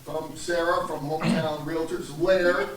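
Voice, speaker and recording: A man moderating a public panel, brisk and cheerful as he moves things along. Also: a little high, steady tone. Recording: roomy, distant, noisy.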